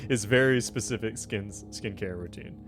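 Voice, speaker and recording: A man's voice: on the low side, normal speed. A man hosting a tabletop game session as game master, bantering casually with his players and joking with some swearing. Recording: music playing behind the voice. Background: music.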